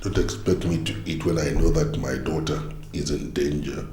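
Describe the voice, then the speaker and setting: A man's voice: a bass voice, steady tone, moderate pace, darkish tone, reverberant, slight background noise. An actor playing a stern, devout middle-aged father who lectures his family.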